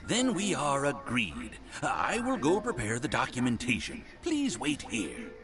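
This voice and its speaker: An actor voicing an eager, flustered middle-aged landlord who is overly polite, in a video game. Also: male voice, medium pitch, wildly swooping pitch, a little slow. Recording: game audio with music underneath.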